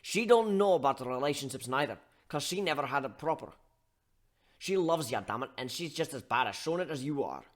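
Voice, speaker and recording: A man voicing a gruff, scolding barkeep who gives blunt tough-love lectures in folksy slang in a dramatic story reading. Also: medium pitch, expressive, brisk, lightly acted. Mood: blunt, lecturing.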